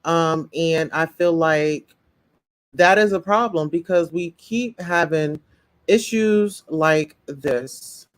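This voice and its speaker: A woman's voice: deep voice, takes it slowly. Outspoken woman hosting a livestream. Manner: outspoken, addresses chat viewers directly. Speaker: livestream commentary host. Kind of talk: casual live-stream commentary.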